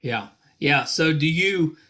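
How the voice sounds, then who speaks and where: A man's voice: fairly high pitch, animated, slow, pushed and bright. A man in his thirties hosting a casual interview in an easygoing way, repeating phrases for emphasis. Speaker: podcast host, interviewer.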